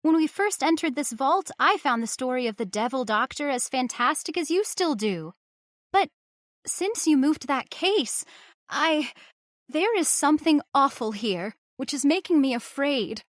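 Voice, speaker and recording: A woman's voice: high voice, animated, a little slow, no room sound, clean studio sound. An actress playing a young engaged woman who argues earnestly with her stubborn father, in old-time radio drama style. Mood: pleading. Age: young adult. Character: young woman, engaged, frightened but spirited daughter.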